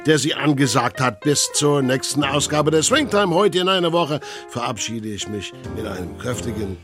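An older man signing off a radio show with lively flair, from an archive broadcast. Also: mid-range voice, moderate pace, music in the background. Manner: lively, swinging sign-offs.

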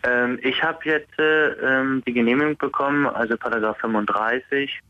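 A man's voice: mid-range voice, steady tone, moderate pace, no room sound. A man from the Netherlands, a prison inmate on day release, talking hesitantly in a casual interview with many fillers and restarts. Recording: dull lo-fi sound.

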